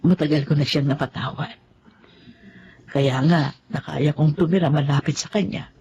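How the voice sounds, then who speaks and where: An actress playing an older, remorseful woman in mourning in an emotional radio drama, speaking in a halting, heartfelt way. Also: low-pitched, fairly even intonation, warm tone, highs cut off, slight background noise. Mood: regretful. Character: grieving, remorseful old woman mourning her longtime rival. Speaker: radio drama lead actor. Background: music.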